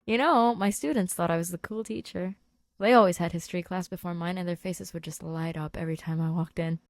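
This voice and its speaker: Lady reading awkward middle aged teacher guy, flustered, repeats himself, warm hearted, dry sound. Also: fairly low pitch, brisk, no echo, lightly acted. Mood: fond, proud. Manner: stammering, repeating words in a fluster.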